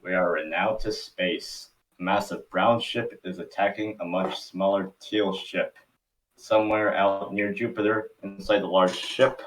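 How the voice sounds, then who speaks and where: A man's voice: sits low, fairly even intonation, unhurried, distant mic, low-quality recording. A man giving scripted narration for an amateur table read.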